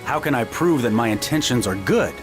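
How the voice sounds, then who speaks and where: An actor playing a polite, self-assured military strategist who talks with hesitant fillers and wry courtesy. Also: male voice, mid-range voice, animated, brisk, natural voice. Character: eccentric polite male strategist, confident. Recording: game audio with loud music.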